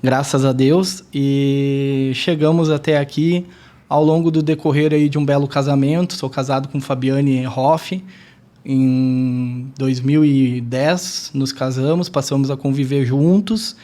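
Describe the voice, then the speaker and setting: A man, a business guest on a podcast, introducing himself in an earnest, measured, somewhat formal way. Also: mid-range voice, fairly even intonation, warm tone. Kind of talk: self-introduction in podcast interview.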